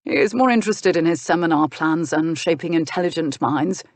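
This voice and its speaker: A woman's voice: deep voice, brisk, a little mellow, dry recording. Woman as a wry, gossipy, easygoing earl. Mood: amused. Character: wry, easygoing earl, reluctant village magistrate. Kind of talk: historical romance audiobook character.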